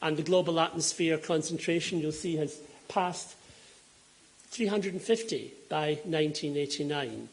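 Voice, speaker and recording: A man with a Scottish accent that carries Donegal roots speaks in a slightly higher register as he lectures a hall full of people. He explains graphs in a measured way and pauses often on "um" and "uh" to correct himself. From Scotland. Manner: measured and explanatory, with frequent ums and self-corrections. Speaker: climate scientist giving a lecture. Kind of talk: public lecture with slides. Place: lecture hall before an audience.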